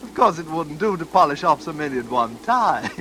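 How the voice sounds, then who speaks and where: Casting: suave, sinister British know-all for old radio thriller, highly animated intonation, condescending, noisy recording. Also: male voice, moderate pace. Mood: slyly confiding. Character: smug, sinister English stranger, self-styled murder expert. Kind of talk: 1940s radio acting. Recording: noisy vintage radio recording, train rumble.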